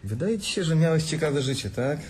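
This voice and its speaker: An actor playing a stern, moralising, easily stung brother in a radio drama, speaking in terse reproaches. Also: male voice, a little high, lively intonation, brisk, darkish tone, husky. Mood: reproachful. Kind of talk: dramatic radio play acting.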